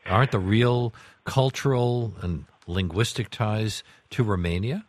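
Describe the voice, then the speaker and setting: A man's voice: lowish voice, unhurried, no room sound. A man hosting a radio interview, putting questions and follow-up remarks in an inquisitive, conversational way.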